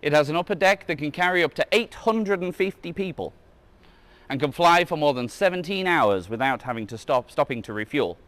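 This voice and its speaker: A man's voice: a little high, expressive, brisk, fairly bright tone. A man reading a children's book aloud as a museum guide, adding casual asides and now and then correcting his slips. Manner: stumbles over words and corrects himself.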